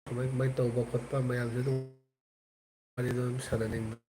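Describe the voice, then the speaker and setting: A man's voice: medium pitch, flat delivery, rapid-fire, dark tone, a little hiss or hum. A man from northeast India with a Manipuri accent, chatting casually and rambling to his viewers on a live stream.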